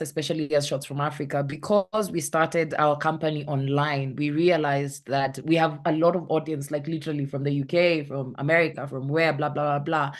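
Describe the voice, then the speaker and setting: A woman with a Kenyan accent, a content creator talking casually on an online panel, often searching for the right word. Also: low-pitched. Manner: chatty, searches for words, acts out anecdotes.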